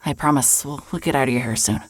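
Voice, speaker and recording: An actress playing a weary, evasive woman in an audio drama, speaking haltingly and apologetically. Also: a bass voice, husky. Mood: flustered, hesitant. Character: weary, evasive woman, a traveller staying at a motel. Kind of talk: naturalistic audio drama acting.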